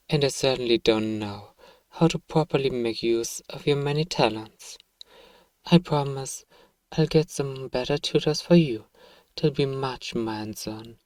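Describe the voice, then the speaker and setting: A man acts out an evil emperor in a bedtime audio role-play. The voice is dark and mellow, recorded close and dry. He speaks softly and formally, a measured, fatherly ruler who is quietly sure of his own righteousness. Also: medium pitch, no room sound, close to an everyday voice. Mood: soothing, encouraging. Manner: gentle, measured, formal, persuasive. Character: evil emperor father, soft-spoken, paternal, self-righteous.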